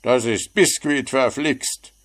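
A man's voice: medium pitch, very animated, takes it slowly, voice pushed up, heavily acted. A man voicing a grumpy, easily offended little gnome who snaps and grumbles, in a storybook reading. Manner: gruff, irritable.

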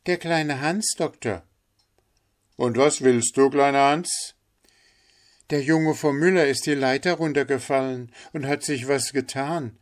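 A man's voice: medium pitch, unhurried, pitched above the performer's own voice, natural voice. A man voicing Hans, a humble, good-natured little man in a read-aloud storybook, eager and polite. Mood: urgent.

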